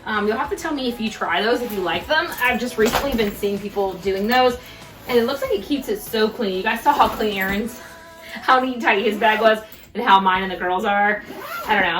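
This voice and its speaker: A woman's voice: medium pitch, fairly bright tone, distant mic, low-quality recording. A young woman, a mom, chatting casually to the camera at home while she unpacks, thinking aloud as she works. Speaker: vlogger and mom. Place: indoors at home.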